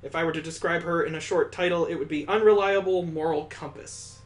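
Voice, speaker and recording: A man's voice: a little high, fairly even intonation, a little fast. A man hosting a gaming video, narrating a visual novel with improvised asides as he reads aloud.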